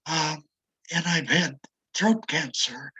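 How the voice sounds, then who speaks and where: A retired older man with a raspy, damaged voice, speaking slowly and reflectively as an interview guest, with a self-deprecating manner. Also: fairly high pitch, sharp tone, poor audio. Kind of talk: casual conversation.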